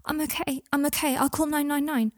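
A woman voicing a proud but fragile wizard father, wry and refined, tender with his son and quick to defend himself. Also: high voice, fairly even intonation, no room sound, clean studio sound, pitched above the performer's own voice, lightly acted. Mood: faint, soothing.

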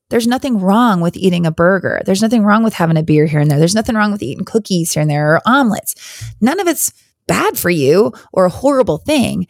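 A hoarse woman hosting a podcast, talking in a candid, chatty, rambling way on her own. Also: medium pitch, a little mellow, dry recording, clean studio sound. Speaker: podcast host. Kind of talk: casual solo podcast chat.

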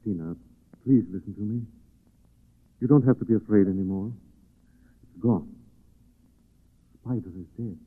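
An actor playing a calm, protective amateur sleuth, composed and earnest in 1940s radio style. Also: male voice, on the low side, moderate pace, long pauses. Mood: gentle, earnest. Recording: tinny narrow-band 1940s radio recording.

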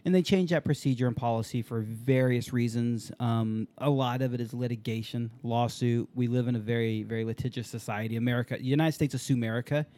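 Mellow-voiced man in his forties. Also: medium pitch, fairly even intonation, brisk, few pauses, no echo. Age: 40s. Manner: loose, swears freely. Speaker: podcast host. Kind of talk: casual podcast chat.

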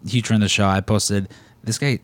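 A man's voice: lowish voice, fairly even intonation, brisk, no room sound. Laid-back man with a Chicago accent. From Chicago area, US. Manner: rambling, loose, joking. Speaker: podcast co-host. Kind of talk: casual chat.

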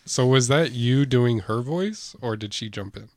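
A man co-hosting comedic improv banter with wry, questioning, deadpan asides. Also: medium pitch, measured pace, no room sound, clean studio sound.

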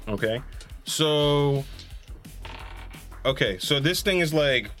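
A man's voice: fairly high pitch, lively intonation, slow pace, a bit bright, soft background music. A man talking casually as a player in a tabletop roleplaying game, in a loose, jokey manner.

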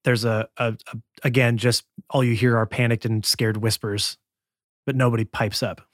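A man's voice: mid-range voice, flat delivery, moderate pace, no room sound, clean studio sound. A man running a tabletop role-playing game as game master, narrating scenes and calling for rolls. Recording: music behind the voice. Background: background music.

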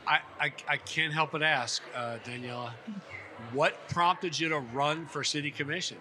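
A man hosting an on-location interview, talking in a folksy, effusive and halting way. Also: a little high, sharp tone. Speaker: podcast host. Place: busy conference venue at a hotel. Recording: clear background noise on location.

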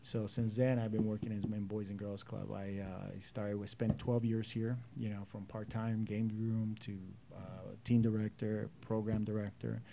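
A man's voice: on the low side, flat delivery, moderate pace, creaky, hardly any pauses, background noise. A man in his forties from El Salvador, a youth club director, telling his story earnestly as an interview guest. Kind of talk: casual interview talk.

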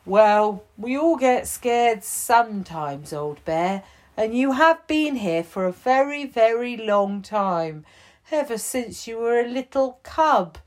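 A woman's voice: fairly high pitch, lively intonation, slow pace, pitched above the performer's own voice. A woman voicing a patient, kind male prison chief in a bedtime story. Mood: gentle. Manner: gentle, reassuring.

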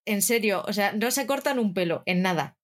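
A woman with a medium-pitched voice co-hosting a casual podcast. She teases and plays coy with her friends. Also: expressive, normal speed. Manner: teasing, playful. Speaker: podcast co-host. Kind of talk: casual chat among friends.

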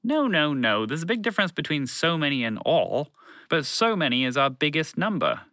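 A condescending alien creature from a storybook, voiced by a man. It argues every point in a bright, raised voice and takes offence easily, with pitch that jumps around in lively swings. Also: moderate pace, no echo, studio quality. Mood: insistent pedantic. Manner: condescending, argumentative, casual phrasing.

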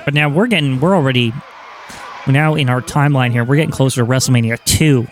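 A man's voice: medium pitch, fast, slight background noise. A man co-hosting a podcast, blunt and sarcastic, swearing freely. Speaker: podcast co-host. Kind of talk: casual chat reviewing wrestling.

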